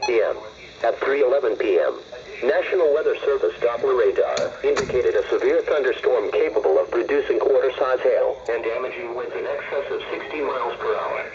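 A man's voice: mid-range voice, animated, crowd noise. Muffled synthetic man reading radio weather warnings. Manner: formal, synthetic measured reading. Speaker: automated weather radio announcer. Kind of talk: scripted emergency weather warning bulletin. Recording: muffled radio speaker, loud hiss.

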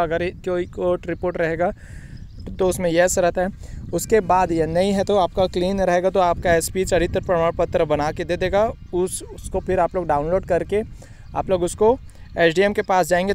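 A young man hosting an exam-coaching video, talking fast and informally to his viewers beside a railway track, recorded on a phone. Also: sits high, darkish tone, a little hiss or hum. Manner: brisk, repeatedly addresses viewers as friends. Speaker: exam coaching channel host.

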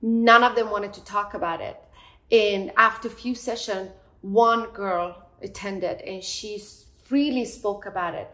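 Bright-voiced middle-aged woman therapist. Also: medium pitch, fairly even intonation, rough recording. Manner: measured, explanatory, gestures while talking. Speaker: psychotherapist guest. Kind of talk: earnest interview answers. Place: bright living room indoors. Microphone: lapel mic.

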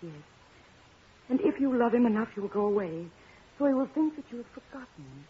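An actress playing a young princess, refined and formal, in 1950s radio operetta style. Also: female voice, fairly high pitch, animated, fairly quick, slightly rough. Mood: earnest pleading. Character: young royal princess, dignified, pleading, jealous yet gracious. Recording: tinny, narrow old radio broadcast.

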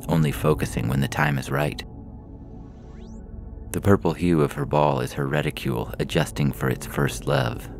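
A man's voice: rumbling low, vocal fry, a little mellow. A man reading a science fiction story aloud as a scripted narration.

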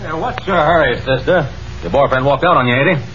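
An actor in 1930s radio drama playing a brash, leering street masher, taunting, pushy and slangy. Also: male voice, fairly high pitch, fairly quick, fairly bright tone, natural voice. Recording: dull 1930s radio recording.

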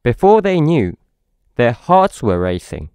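A man's voice: mid-range voice, very animated, a little slow, a little mellow, smooth, dry recording, clean studio sound. A man with a British accent reading a children's story aloud, expressively, as if to a young child. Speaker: storybook narrator.

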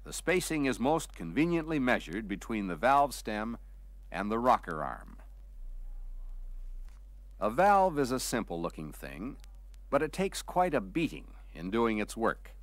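Male narrator, for a vintage technical training film. Lowish pitch, lively intonation, careful explanatory delivery. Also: no room sound. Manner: measured, clearly articulated, explanatory.

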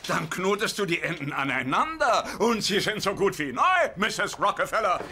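A man's voice: high voice, animated, normal speed, bright, forceful tone, breathy edge, heavily acted. An actor playing an eccentric, thrifty old father in sitcom dialogue, theatrical and grumbling. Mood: fussy, grumbling. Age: older. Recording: noisy audio with clicks.

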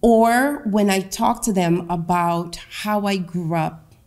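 Slow, deliberate woman speaker. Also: medium pitch, a little mellow. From Honduras. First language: Garifuna. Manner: slow, deliberate, emphatic delivery. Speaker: guest speaker. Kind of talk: public talk at a lectern. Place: meeting room with a seated audience. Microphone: lectern microphone.

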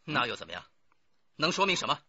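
An actor playing a defiant, cornered murder plotter under interrogation in a radio drama, speaking deliberately. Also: male voice, on the high side, big pitch swings, pushed and bright, husky, no echo, muffled recording, exaggerated voice. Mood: indignant.

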